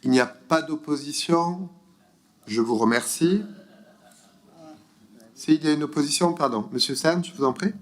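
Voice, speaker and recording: A man's voice: on the high side, moderate pace, long pauses. A man chairing a city council meeting, formal and procedural as he calls a vote.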